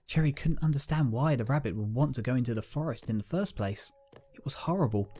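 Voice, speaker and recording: A man's voice: mid-range voice, animated, fast, a little mellow, slightly rough. A man narrating a dramatic scripted story as its reader.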